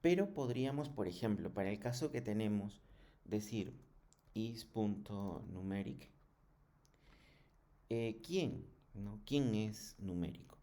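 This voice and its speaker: A man giving a programming tutorial in a halting, didactic way, often checking in with short questions. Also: on the low side, expressive, dark tone. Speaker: programming instructor. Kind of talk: screen tutorial lesson.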